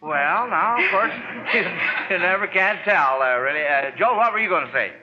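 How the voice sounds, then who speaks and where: A man's voice: high voice, lively intonation, normal speed, crowd noise. A man hosting a 1940s radio quiz show in an encouraging manner. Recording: muffled, noisy vintage radio recording.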